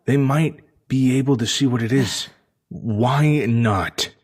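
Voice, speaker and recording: A man's voice: mid-range voice, takes it slowly. A man acting an anxious, cautious former security spacefarer in a sci-fi audio drama, stammering and repeating himself. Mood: incredulous.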